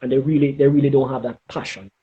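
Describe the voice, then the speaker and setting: A middle-aged man with a Jamaican accent giving advice in an interview, speaking emphatically and deliberately and often checking in with "okay?". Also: mid-range voice, steady tone, warm tone, dull audio. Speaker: interview guest, former air traffic controller.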